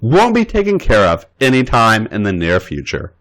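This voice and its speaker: A man voicing a feisty, playful young woman wrestler in a read-aloud story, pitching his voice up to tease. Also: huge pitch swings, moderate pace, a bit bright.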